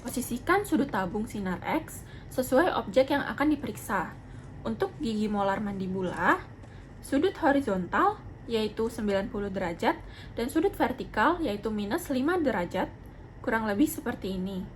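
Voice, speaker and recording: A young woman with a fairly high voice explains a procedure step by step. She is formal, polite and methodical. Faint noise sits behind her voice. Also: sing-song, normal speed. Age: young adult. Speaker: dental student demonstrating a procedure. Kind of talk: instructional narration with role-played patient consultation. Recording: clearly noisy amateur video sound.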